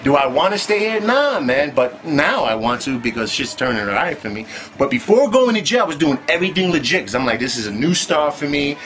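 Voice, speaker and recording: A man's voice: a little high, very animated, a little fast, a bit bright. Profane streetwise man storytelling. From United States. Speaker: vlogger telling his own story. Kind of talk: casual storytelling monologue.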